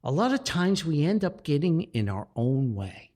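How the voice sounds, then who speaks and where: A man's voice: a little high, big pitch swings, normal speed, darkish tone. A man hosting a podcast, telling reflective stories in a folksy, sentimental and earnest way. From Paterson, New Jersey, United States. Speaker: podcast host and author.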